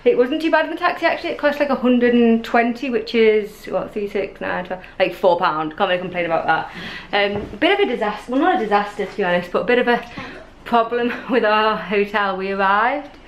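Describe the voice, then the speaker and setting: A woman's voice: medium pitch, lively intonation, a little fast, few pauses. A woman in her thirties with an English accent, chatting casually and a bit meanderingly to a handheld camera as a travel vlogger. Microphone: camera held at arm's length. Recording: noisy vlog audio with traffic and ambience.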